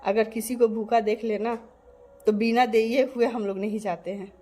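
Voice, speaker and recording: A woman's voice: on the high side, steady tone, normal speed, mellow, slight background noise. A woman from Bihar talking to her channel's viewers in an earnest, emphatic way, repeating her points. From Bihar, India. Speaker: vlogger. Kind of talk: casual direct-to-camera monologue.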